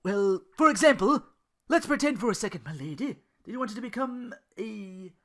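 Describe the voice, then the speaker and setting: A podcast host voicing an earnest, devoted, naive young man sidekick, excitable and deferential. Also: mid-range voice, moderate pace, pitched above the performer's own voice, natural voice. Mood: eager, hopeful. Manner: deferential, excitable, wide-eyed.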